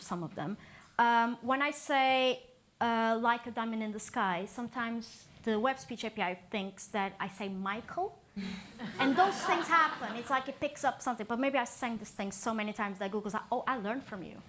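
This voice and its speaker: A woman's voice: a little high, normal speed, a bit bright, slight background noise. Upbeat woman. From Portugal. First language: Portuguese. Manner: chatty and upbeat, laughs often, self-deprecating. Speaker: conference speaker. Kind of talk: tech meetup talk. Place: meetup talk venue.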